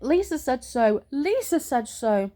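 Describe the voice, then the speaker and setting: A woman's voice: sits high, very animated, a little slow, mellow. A woman voicing a silly, gullible little boy in a storybook, wailing and whining in a frightened, babyish way.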